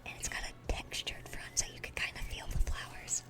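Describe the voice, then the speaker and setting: A woman playing a dorky, smitten young demon girl in a gentle, rambling, affectionate ASMR roleplay. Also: fairly quick, natural voice. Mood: earnest, enthusiastic.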